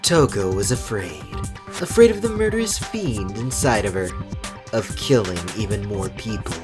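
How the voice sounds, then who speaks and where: A woman playing a cold, haughty teenage boy in an anime game fandub, measured, condescending and disdainful. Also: rumbling low, lively intonation. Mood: cool, explanatory. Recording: loud music behind. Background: music.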